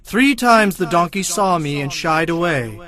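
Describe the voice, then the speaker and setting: A man's voice: high voice, expressive, a little slow, pushed and bright, heavily acted. A man playing a stern, commanding angel of the Lord with a demanding manner in a dramatized scripture reading. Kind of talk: dramatized scripture audio acting.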